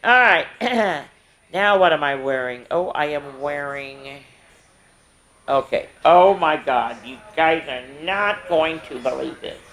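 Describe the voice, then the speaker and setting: An older woman hosting a story time, reading picture books aloud to children with playful, animated asides. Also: sits low, slow. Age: older, grandmother. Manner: playful, animated, chatting in asides to young listeners.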